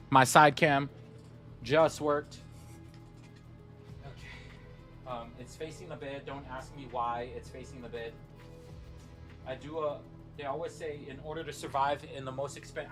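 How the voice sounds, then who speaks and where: A man's voice: mid-range voice, fairly even intonation, moderate pace, pauses often, a bit bright, soft background music. A man whose first language is Somali, chatting casually and upbeat with his live-stream audience while gaming. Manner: chatty, upbeat, talks straight to chat.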